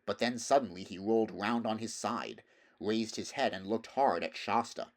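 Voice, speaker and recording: A man's voice: on the low side, normal speed. A man reading a story aloud as scripted narration, in the role of audiobook reader.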